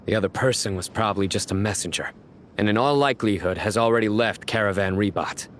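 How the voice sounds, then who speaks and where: A man playing a grave, methodical law enforcer in a video game, formal and deliberate in his speech. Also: fairly low pitch, a bit bright, slight background noise, natural voice. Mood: grave, analytical. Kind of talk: scripted video game voice acting.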